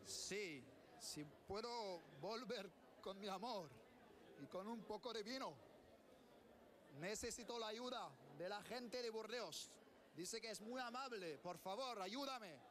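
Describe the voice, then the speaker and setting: A man's voice: high voice, a little slow, fairly bright tone. A man playing a theatrical, lovelorn knight errant who pleads as he searches for his lady in a live street-style performance. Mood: pleading.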